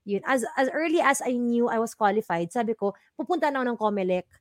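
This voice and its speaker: High-voiced bubbly Filipino woman DJ. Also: lively intonation, fast talker, no echo, studio quality. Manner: bubbly, laughs often, mixes Filipino and English. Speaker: radio DJ co-host. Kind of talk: casual talk-show banter.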